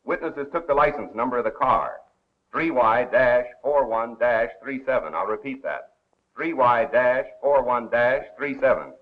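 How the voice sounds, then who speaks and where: Polished 1930s radio crime commentator, played by an actor, dull soundtrack. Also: male voice, medium pitch, natural voice. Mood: measured storytelling. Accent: American. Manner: polished, measured announcer delivery. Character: suave, wry male radio crime commentator and amateur sleuth. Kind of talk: 1930s American film acting as a radio newscaster.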